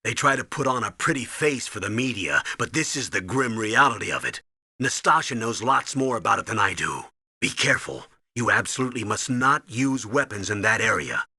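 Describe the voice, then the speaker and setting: A man's voice: on the low side, expressive, normal speed, sharp tone, breathy edge, dry recording, heavily acted. A man playing a stern, urgent middle-aged military commander in a video game, speaking with earnest, emphatic authority.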